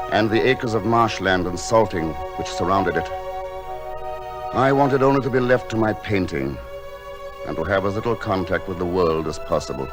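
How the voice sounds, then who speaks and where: An actor playing a gentle, misshapen recluse painter, telling his story in formal, poetic, earnest language. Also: low-pitched, lightly acted. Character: solitary, misshapen painter living in a lighthouse, gentle and wistful. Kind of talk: dramatic narration in an audio drama. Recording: music behind the voice.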